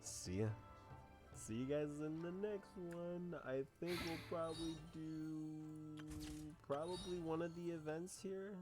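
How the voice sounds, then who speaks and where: A man's voice: a little high, steady tone, takes it slowly, over music. A man streaming a video game, giving casual commentary in an unscripted, musing way and often repeating himself.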